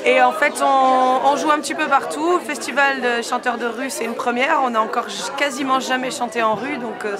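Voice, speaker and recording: A woman's voice: high voice, fairly even intonation, moderate pace, few pauses. A cheerful woman singer-songwriter from Brittany, chatting in a festival interview. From Brittany, France. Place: outdoor street festival. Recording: loud crowd behind.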